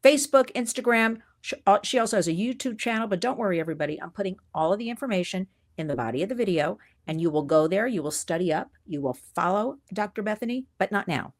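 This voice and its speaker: A woman, hosting an interview show and chatting with a guest in an animated, gushing way, often laughing. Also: medium pitch, quick pace. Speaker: podcast host. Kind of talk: enthusiastic interview chat.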